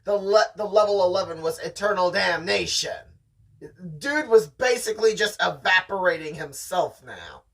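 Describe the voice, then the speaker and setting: Amateur story reader with animated pitch and a forceful tone, casual chat between passages, sounds slightly off-mic. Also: normal speed, distant mic. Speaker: host reading a novel aloud.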